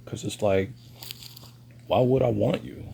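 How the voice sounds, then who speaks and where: A man, lecturing bluntly in a halting monologue to camera. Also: creaky, warm tone, a little hiss or hum. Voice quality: deep voice. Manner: slow and halting, pauses and restarts. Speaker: vlogger.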